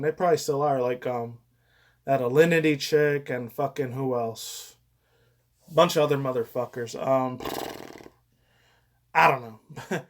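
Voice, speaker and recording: A young man giving casual, off-the-cuff reaction commentary in a rambling way full of crude slang and swearing. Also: mid-range voice.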